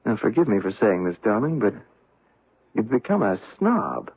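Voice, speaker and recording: An actor playing a gentle, hesitant American husband in a radio mystery drama, halting and tactful in his speech. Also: lowish voice, very animated, husky. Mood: affectionate, apologetic. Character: gentle, hesitant American husband, mildly reproachful but affectionate. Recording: muffled narrow-band old radio broadcast.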